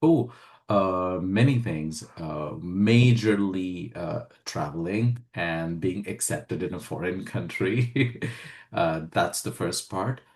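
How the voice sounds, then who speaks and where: A man from India speaks in a very low voice. He is a communication trainer giving interview answers. He is measured and emphatic, with frequent "uh" fillers. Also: a little mellow. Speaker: guest, communication skills trainer.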